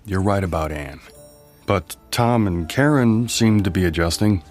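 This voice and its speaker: An actor playing a gentle, weary widowed father in a radio drama, measured and restrained. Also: sits low. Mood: patient, reluctant. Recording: studio radio play with music underneath.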